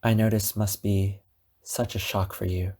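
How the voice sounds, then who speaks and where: Man acting a slow, possessive, lovesick captor. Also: on the low side, level tone, mellow, slightly rough. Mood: calm, coaxing. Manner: slow, deliberate, dramatic pauses. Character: obsessive lovesick male captor, wounded, possessive, menacing. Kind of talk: ASMR roleplay monologue addressed to the listener.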